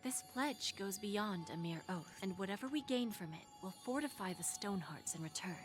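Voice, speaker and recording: A woman's voice: mid-range voice, lively intonation, faint music behind, natural voice. Poised, seductive woman power broker, played by an actress. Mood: solemn, persuasive. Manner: unhurried, composed, teasing. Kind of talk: scripted game voice acting.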